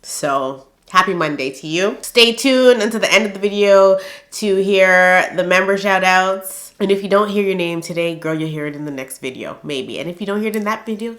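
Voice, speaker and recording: Nasal, stuffed-up woman dishing gossip. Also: mid-range voice. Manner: animated, laughing, addresses viewers as girl. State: stuffy nose from the flu. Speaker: gossip channel host. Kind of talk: casual celebrity gossip chat.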